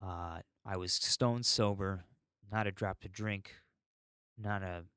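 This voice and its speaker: Low-voiced American man, unfiltered podcast rambler. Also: steady tone, slow, pushed and bright, clear, resonant tone, dry recording, clean studio sound. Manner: unfiltered, sweary, ranting. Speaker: podcast host. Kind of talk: rambling solo podcast monologue.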